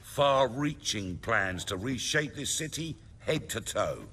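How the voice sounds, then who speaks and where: An actor playing a confident, scheming middle-aged underworld boss in a fantasy video game, speechifying in measured, colloquial English. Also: male voice, medium pitch, lively intonation, bright, forceful tone, a little hiss or hum. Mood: sly, confident. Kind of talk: dramatic video game dialogue.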